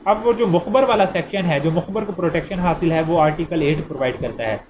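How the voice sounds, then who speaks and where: Higher-voiced Pakistani man lecturing over noise. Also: fairly quick. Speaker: law lecturer. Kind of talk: explanatory lecture, reading statutes aloud and explaining them.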